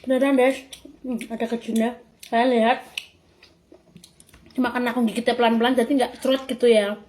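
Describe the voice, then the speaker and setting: A woman's voice: on the high side, flat delivery, brisk, a little mellow, slight background noise. A young woman chats casually while tasting food. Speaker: food taster. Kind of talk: casual mukbang chat.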